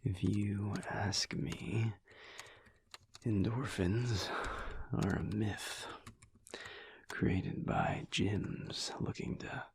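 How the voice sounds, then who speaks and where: A man playing a teasing, affectionate werewolf husband in an intimate audio roleplay, murmuring in a breathy, gravelly, hushed drawl. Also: lowish voice, fairly even intonation, a little hiss or hum, exaggerated voice. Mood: wry grumbling. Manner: slow, hushed, wry drawl with pauses.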